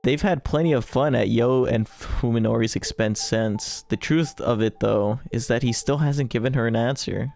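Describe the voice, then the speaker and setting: Gravelly-voiced guy gaming with a friend. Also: mid-range voice, normal speed, few pauses, darkish tone, soft background music. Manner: jokey, informal, swearing. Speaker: gaming video co-host. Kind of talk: casual chat and reading aloud while playing a visual novel.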